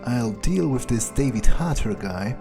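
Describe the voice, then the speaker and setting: A man voicing a cynical, bored male vampire in an improvised game playthrough, offhand, deadpan and sarcastic. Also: mid-range voice, unhurried, vocal fry, music in the background. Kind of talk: improvised in-character gaming playthrough.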